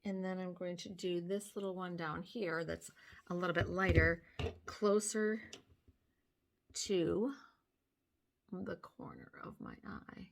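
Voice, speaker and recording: An older woman with a slightly hoarse voice, gently talking through a makeup tutorial step by step, filmed close on a phone at home. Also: fairly low pitch, fairly even intonation, slow pace, pauses often. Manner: gentle, step-by-step explaining while applying makeup. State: hoarse from sinus trouble. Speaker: beauty vlogger. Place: small room at home, indoors. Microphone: phone camera close up.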